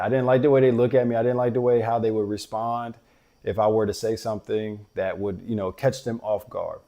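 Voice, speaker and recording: A man with a deep voice, hosting a show and talking earnestly to fathers in a reflective, heartfelt monologue. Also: dark tone. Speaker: podcast host.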